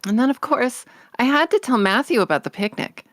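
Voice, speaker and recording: A woman playing an excitable, dreamy orphan girl in a storybook read-along, breathless and gushing. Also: fairly high pitch, brisk, no echo, clean studio sound, natural voice. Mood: pleading. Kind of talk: acted audiobook read-along.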